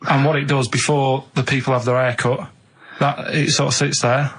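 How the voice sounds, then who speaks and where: An English-accented man, a radio show regular, telling rambling anecdotes in a halting, earnest, matter-of-fact way. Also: medium pitch, flat delivery, a bit bright, husky, low-quality recording.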